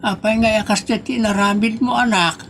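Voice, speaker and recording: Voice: medium pitch, fairly even intonation, normal speed, creaky, a bit bright, slight background noise. A performer with an Ilocano accent playing an anxious, scolding elderly father in a comedy drama, worried and admonishing.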